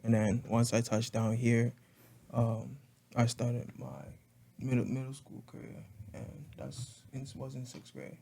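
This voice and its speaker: A young man in his twenties from Congo, co-hosting a casual podcast and thinking aloud in halting, reflective bits. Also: medium pitch, monotone, gravelly, mellow. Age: 20s. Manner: halting, reflective, restarts often. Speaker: podcast co-host. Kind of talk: casual chat.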